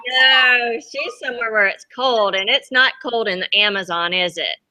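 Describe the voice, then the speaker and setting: A woman teacher reading a picture book aloud to young children in an expressive, chatty way, often asking them questions. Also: on the high side, normal speed, rough recording. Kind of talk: expressive read-aloud storytime.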